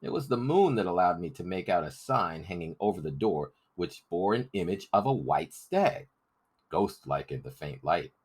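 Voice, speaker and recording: A man's voice: low-pitched, sing-song, normal speed, a little mellow, slightly rough. A man narrating a story as a scripted audiobook reading.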